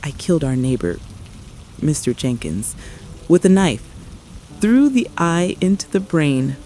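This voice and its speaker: An actress playing a guilt-ridden, traumatized mother in an apocalypse radio drama, confessing haltingly and repeating her phrases in distress. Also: low-pitched, big pitch swings, warm tone, slight background noise, rough recording. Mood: despairing confession.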